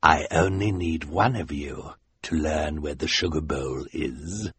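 A smug villain gloats in a low, husky voice, put on by a man reading a storybook aloud. The sound is dry and close, with nothing around it. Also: unhurried, fairly bright tone, dry recording, heavily acted. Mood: menacing.

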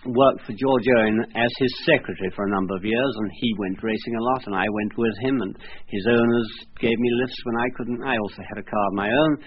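A man from Wales, a jockey, answering questions in an archive radio interview in a modest, polite, unhurried way. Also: lowish voice. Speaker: jockey guest. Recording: dull old radio recording with faint hiss.

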